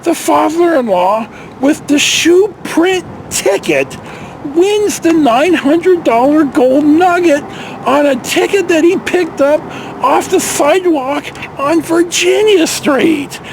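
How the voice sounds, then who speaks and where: An older American man with a high voice that swings widely in pitch tells stories casually and folksily, wandering off on asides. He is recorded outdoors, with faint ambient noise and vehicles behind him. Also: expressive, slow pace, vocal fry, rough recording. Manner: folksy, digressive, mild swearing. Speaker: vlog host telling personal stories. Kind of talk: casual rambling storytelling. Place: outdoors in a park.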